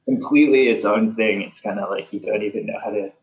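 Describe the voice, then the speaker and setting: A young man from Canada, a band member answering interview questions in soft, halting casual talk full of fillers. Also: lowish voice, fairly even intonation. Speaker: band leader, interview guest. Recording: echoey room.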